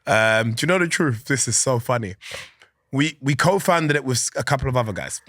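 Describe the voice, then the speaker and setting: A man's voice: medium pitch, a little fast, no room sound, studio quality. Guy chatting on a podcast, lots of "you know", laughs a lot, mid voice. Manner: animated, chatty, laughs often, many fillers. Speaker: podcast guest, organisation founder. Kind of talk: casual interview chat.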